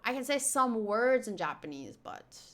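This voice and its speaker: A woman's voice: on the high side, very animated, normal speed, fairly bright tone. A young woman whose first language is Afrikaans, chatting casually on a live stream with lots of fillers and restarts. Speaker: streamer answering chat.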